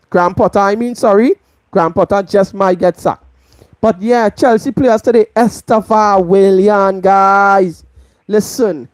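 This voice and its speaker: A woman's voice: medium pitch, darkish tone, no echo. A Trinidadian woman hosting a live football match review, stressing her points by repeating them. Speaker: football channel host.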